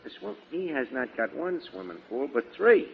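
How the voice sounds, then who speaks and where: A muffled 1940s radio recording carries a brash streetwise schemer. His pitch swings freely as he fumbles and mangles his grammar with great self-assurance, in old comedy style. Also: sing-song, moderate pace, vocal fry, husky, exaggerated voice. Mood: brash, boastful. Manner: hesitant fillers, mangled grammar, streetwise talk. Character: brash, malapropism-prone male schemer and wise guy.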